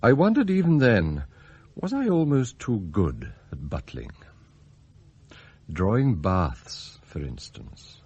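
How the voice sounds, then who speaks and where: An actor playing a discreet, fastidious English butler in a radio drama, formal and measured, with an English accent. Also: male voice, on the low side, wildly swooping pitch, a little mellow, slightly rough, exaggerated voice. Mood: wistful confiding. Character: discreet, fastidious male English butler narrating his schemes.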